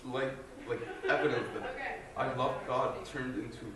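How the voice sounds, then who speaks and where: A man's voice: mid-range voice, measured pace, a crowd in the background. A young man from Guatemala sharing a personal faith testimony as a student, earnest and hesitant, with many fillers and restarts. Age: young adult. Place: large room with an audience. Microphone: no mic, distant recorder. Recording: echoey room from far off.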